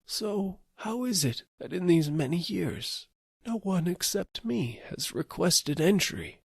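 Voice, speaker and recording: A man's voice: high voice, expressive, takes it slowly, creaky, a little mellow, slightly rough, dry recording, studio quality, voice pushed up, exaggerated voice. A man voicing a frail, dying old countryman in an audiobook story, pleading and puzzled. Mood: weak, puzzled.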